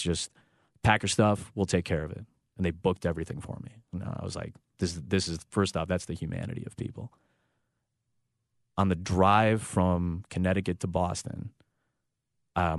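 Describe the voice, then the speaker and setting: A man's voice: low voice, gravelly, no room sound, studio quality. A man in his thirties, a sports broadcaster, telling a personal family story in a reflective, heartfelt way with frequent restarts. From United States. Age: 30s.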